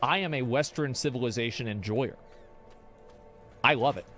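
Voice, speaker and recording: Streamer type, young man, argumentative, rambling, mid-range voice, low music bed. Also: moderate pace, bright, forceful tone. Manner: rambling, argumentative, restarts often. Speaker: streamer reacting to chat. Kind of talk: casual opinion talk.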